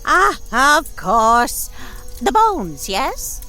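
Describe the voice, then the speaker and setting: A woman's voice: sits high, sing-song, very slow, bright, forceful tone, faint music behind, exaggerated voice. A woman playing a gleefully wicked old witch with fussy, theatrical, archaic speech in a comic horror audio drama. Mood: smugly sweet.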